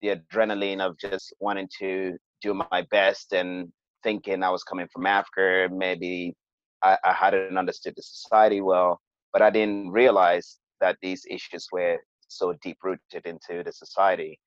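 A man's voice: low-pitched, level tone, normal speed, no room sound. A man from Cameroon, a podcast guest, telling his experiences in a hesitant, conversational way with many fillers and restarts. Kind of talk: conversational interview storytelling. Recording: dull, lo-fi sound.

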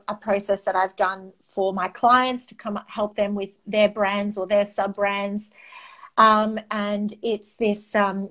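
A woman in her forties with an Australian accent, a native Greek speaker, chatting as an interview guest about her marketing work in a loud, passionate, animated way, full of "um" fillers. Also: medium pitch. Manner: loud, fiery and passionate, talks with her hands. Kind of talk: casual interview chat.

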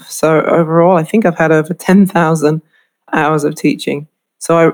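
British woman lecturer explaining clearly. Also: low-pitched, darkish tone. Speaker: fashion marketing lecturer. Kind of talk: instructional talk to camera.

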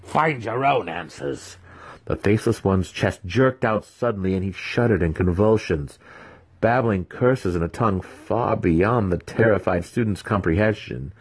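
A man with a deep voice narrates fantasy fiction dramatically. His tone is husky and rough-edged, delivered as a scripted audiobook reading. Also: moderate pace.

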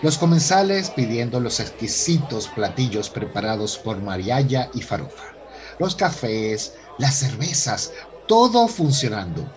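A man's voice: medium pitch, sing-song, unhurried, faint music behind. A man hosting and narrating a radio soap opera from a script in a theatrical presenter's manner. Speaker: host and narrator.

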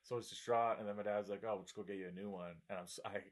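A man's voice: lowish voice, fairly even intonation, very fast. A man in his mid-twenties chatting casually, joking and laughing often while telling stories. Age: 20s. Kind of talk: casual chat.

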